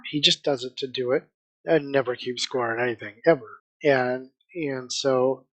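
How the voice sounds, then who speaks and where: A man's voice: medium pitch, moderate pace, rough recording. A man co-hosting a book club podcast, chatting casually and stressing his points by repeating them.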